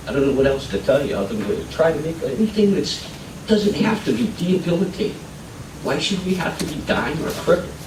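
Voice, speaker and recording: A man from Colorado giving earnest, pleading testimony at a legislative public hearing, building to emphatic appeals. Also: mid-range voice. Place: legislative committee hearing room. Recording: echoey hearing room, distant mic, noisy.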